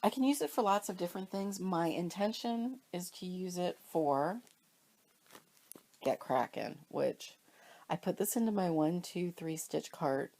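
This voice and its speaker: A woman's voice: lowish voice, vocal fry, warm tone. A woman with a cold, congested and sniffly, chatting casually and rambling about her stitching purchases while filming at home. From Pennsylvania, US. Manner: chatty, rambling, self-deprecating. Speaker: cross stitch vlogger. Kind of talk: casual show-and-tell chat. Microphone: camera or phone filming fabrics, speaker off screen.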